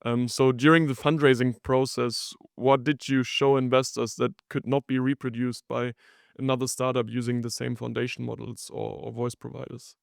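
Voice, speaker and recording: A man with a mid-pitched voice and noticeable vocal fry hosts a podcast interview in a dry, close recording. He sets up his questions haltingly and conversationally, with restrained intonation. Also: level tone, no echo. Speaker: podcast host.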